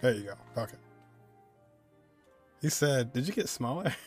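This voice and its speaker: A man's voice: medium pitch, animated, normal speed. A man in his twenties giving casual live reaction commentary as he streams a game. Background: game music.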